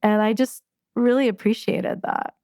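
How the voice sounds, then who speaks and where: A woman hosting a podcast, talking through poems in a slow, thoughtful, gentle way. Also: medium pitch, gravelly, clear, resonant tone, dry recording, studio quality. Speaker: podcast host. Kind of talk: reflective conversation about poetry.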